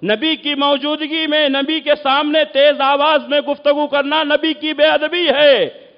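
A man's voice: very high voice, steady tone. A man preaching an Islamic sermon, exhorting listeners emphatically with rhetorical questions and repeated phrases. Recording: muffled, long echoing hall reverb.

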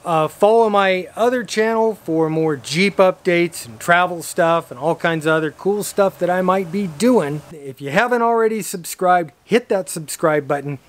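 Casual vlog reviewer: American male, 50s, slightly high-pitched, talking to camera. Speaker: radio hobbyist vlogger and reviewer. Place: outdoors in a driveway by a vehicle, some parts inside a car.

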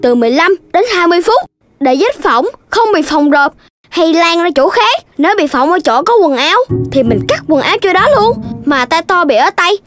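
A sensible little know-it-all in a children's cartoon. The voice is tiny and extremely high, with a Southern Vietnamese accent. The delivery is instructive and a bit scolding, over clear background music. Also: expressive, normal speed, fairly bright tone. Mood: earnest, instructive. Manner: instructive, chiding.

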